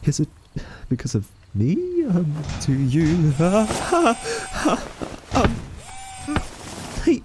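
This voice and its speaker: Man acting a slow, soft-spoken nervous scientist. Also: high voice, wildly swooping pitch, noisy background, full character voice. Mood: flustered, startled. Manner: nervous, stammering, hesitant, awkwardly polite. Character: timid, quirky male scientist held captive by a rogue AI. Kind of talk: audio roleplay acting addressed to listener.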